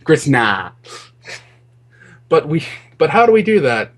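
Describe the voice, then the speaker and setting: A man's voice: a little high, very animated, takes it slowly. A man co-hosting a podcast, joking and reading fan fiction aloud with amused mock dismay. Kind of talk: joking banter and read-aloud.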